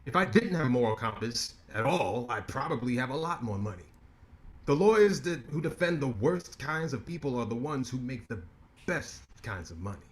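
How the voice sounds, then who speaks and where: A man voicing a blunt, wisecracking lawyer in a stage reading, his pitch swinging widely as he needles. He fires off quick comebacks and turns grave and weary when things get serious. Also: sing-song, lightly acted. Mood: needling, wry. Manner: teasing, quick comebacks, then grave and reflective. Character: sharp-tongued wisecracking male lawyer, blunt.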